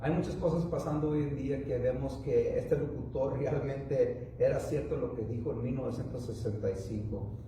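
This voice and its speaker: A man's voice: mid-range voice, fairly even intonation, very echoey, distant mic, noisy background. A man preaching a sermon, a native English speaker who halts and restarts often as he speaks. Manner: rambling, halting with many restarts and fillers. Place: church.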